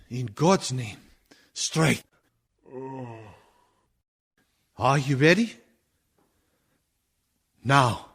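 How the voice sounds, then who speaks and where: An actor playing a grave, commanding older professor and vampire hunter in a theatrical radio play, with solemn, oratorical delivery and stilted phrasing. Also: male voice, mid-range voice, slightly rough, exaggerated voice. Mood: solemn, urgent. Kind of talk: theatrical radio drama acting.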